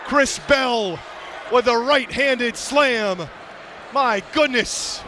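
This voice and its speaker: A man's voice: very high voice, very slow. A man calling live basketball play-by-play in clipped, vivid running description, following the action moment by moment. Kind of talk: live sports commentary. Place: courtside in a large indoor arena. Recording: loud arena crowd noise behind voice. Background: crowd and bouncing basketball.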